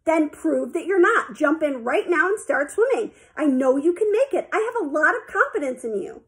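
A woman's voice: high voice, big pitch swings, normal speed, a bit bright, pitched above the performer's own voice. A woman voicing an encouraging, insistent male swimming teacher in a children's story, coaxing and emphatic.

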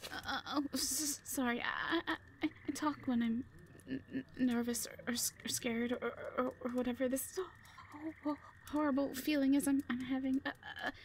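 A woman playing a shy, frightened young owl captive in an audio drama, with a heavy halting stammer. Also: sits high, fairly even intonation, slight background noise. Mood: nervous, timid. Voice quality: heavy stammer, breathy gasps. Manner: timid, halting, pleading. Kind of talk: amateur audio roleplay drama.

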